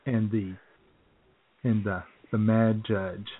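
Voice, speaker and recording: American male co-host, phone-quality audio, loose comic storytelling with hesitations and throat-clearing. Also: on the low side, level tone, slow pace. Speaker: radio show co-host. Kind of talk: casual comic chat, telling anecdotes. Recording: phone-like, narrow-band sound.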